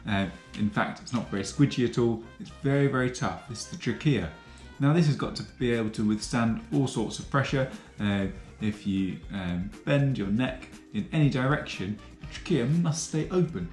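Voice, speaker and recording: A young man explaining biology in a patient, clear teaching manner, recorded in a home kitchen on a camera across the table. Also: mid-range voice, normal speed, few pauses, a little mellow, roomy sound, faint music behind. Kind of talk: educational explainer.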